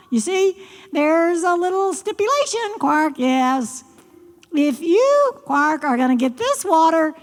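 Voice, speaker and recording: Woman doing a squeaky croaking frog. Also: big-room sound, full character voice. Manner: croaks "quark" into his speech, teasing. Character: ugly croaking male frog guarding a well.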